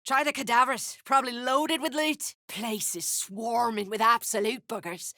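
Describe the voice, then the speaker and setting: Hard, forceful female duergar mercenary. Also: a little high, fairly even intonation, moderate pace, dry recording, clean studio sound. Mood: sour, mercenary. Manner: blunt, threatening, coarse. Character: tough, foul-mouthed, greedy female duergar mercenary. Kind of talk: video game character dialogue.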